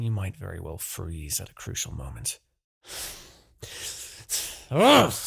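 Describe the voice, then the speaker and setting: A man's voice: medium pitch, vocal fry, breathy edge, exaggerated voice. Man voicing an imperious demon king, wildly swooping delivery. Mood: frustrated. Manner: theatrical, brooding, swinging between murmurs and outbursts. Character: imperious, obsessive male demon king, easily exasperated villain. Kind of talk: dramatic fantasy audio roleplay.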